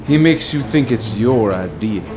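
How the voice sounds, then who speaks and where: An actor playing an admiring commentator giving an explanatory interview soundbite in a mock news documentary. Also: male voice, mid-range voice, lively intonation, a little slow, music in the background, close to an everyday voice.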